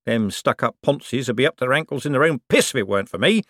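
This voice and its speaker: A man voicing a gruff, rich businessman, who talks slowly and boastfully. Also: mid-range voice, expressive, a bit bright, no room sound, clean studio sound, pitched above the performer's own voice. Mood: scornful. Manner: boastful, blunt, slow and emphatic. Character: gruff rich working-class male businessman.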